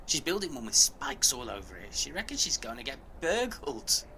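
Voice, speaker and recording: A man's voice: medium pitch, sing-song, normal speed, pushed and bright, a little hiss or hum, heavily acted. An actor playing a chatty, neighbourly man swapping local gossip conversationally in an audio drama. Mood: chatty, concerned.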